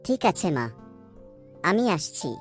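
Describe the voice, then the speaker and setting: A woman's voice: fairly low pitch, big pitch swings, takes it slowly, soft background music. A woman voicing a bold young village schoolboy in a cartoon story. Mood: plain. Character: village schoolboy, bold, hardworking son of a poor farmer.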